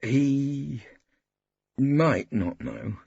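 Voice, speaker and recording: A man's voice: medium pitch, extremely slow, vocal fry, a little mellow, husky, dry recording, muffled recording, pitched above the performer's own voice, heavily acted. A man acting a stolid, bored male police inspector in an audiobook, terse, formal and grudging. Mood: reluctant.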